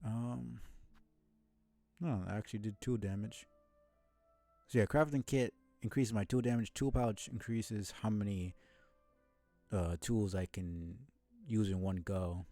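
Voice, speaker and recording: Man, game streamer, mellow lowish voice, casual live commentary, understated muttering, faint music. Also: measured pace, long pauses, no echo.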